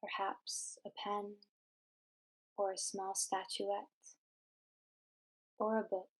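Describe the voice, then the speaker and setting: A woman narrating a scripted guided meditation in a measured, deliberate, instructional way. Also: mid-range voice, flat delivery, poor audio.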